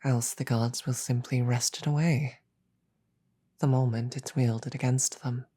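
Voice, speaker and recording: Woman voicing a very deep, husky demon queen. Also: steady tone, dark tone. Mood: pensive, probing. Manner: slow, deliberate, measured, breathy murmur with pauses. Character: regal, calm, menacing demon queen, softly seductive. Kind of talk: scripted roleplay audio drama.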